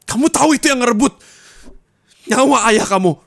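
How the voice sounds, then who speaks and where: A man in an ASMR roleplay, scolding his partner bitterly. He moves between low muttering and raised angry reproach, recorded on a close mic. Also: a little fast, gravelly, a bit bright, dry recording.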